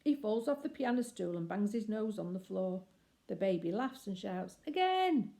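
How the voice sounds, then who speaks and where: A woman reading a children's story aloud expressively, with deliberate phrasing and emphatic pauses. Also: mid-range voice, gravelly, mellow.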